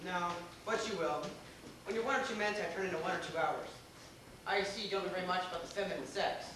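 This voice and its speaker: A wry, unflappable gentleman played by a man teases with glib, theatrical formality. He talks quickly in a high voice on a theater stage, far from the mic in a very echoey space. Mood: wry, teasing. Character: unflappable, teasing, worldly know-it-all gentleman, drily amused. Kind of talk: amateur stage acting in a comic one-act play. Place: small theater stage, recorded from the audience. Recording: echoey theater, distant mic, noticeable noise.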